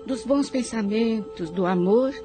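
A woman's voice: a little high, unhurried, lightly acted. A woman playing a solemn, wise spiritual guide who narrates moral counsel in a radio drama. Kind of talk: solemn religious scripted narration over music. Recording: voice over clear background music.

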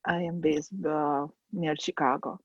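A woman with a Lithuanian accent, a guest designer on a podcast, speaking hesitantly with many pauses and fillers. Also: low-pitched, fairly even intonation, breathy edge, no room sound, muffled recording. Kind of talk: podcast interview.

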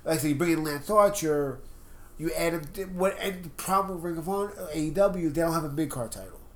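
A man with a slightly high voice and a stuffed-up nose, talking through his views in quick, opinionated bursts. He co-hosts a relaxed wrestling chat podcast, and he pushes his points hard and at length. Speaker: podcast co-host.

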